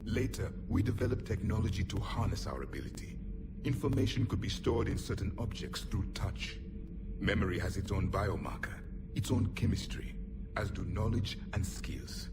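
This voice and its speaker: An actor playing a proud, cold ancient alien soldier in a video game cutscene, speaking in a grave, half-whispered way. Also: male voice, on the low side, expressive, normal speed, heavy background noise. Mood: grim. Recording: distant voice under loud game music.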